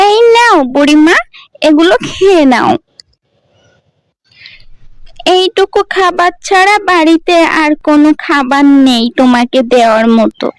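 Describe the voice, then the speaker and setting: A woman voicing a kind, poor, innocent young village girl in a children's cartoon, gentle and earnest. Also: high-pitched, moderate pace, dry recording, voice pushed up, lightly acted. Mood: polite, caring. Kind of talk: children's cartoon fairy-tale voice acting.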